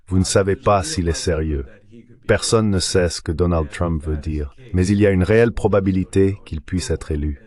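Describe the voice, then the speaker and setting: A man's voice: low-pitched, a little mellow. A man reading a voice-over translation of an interview guest's political and economic commentary.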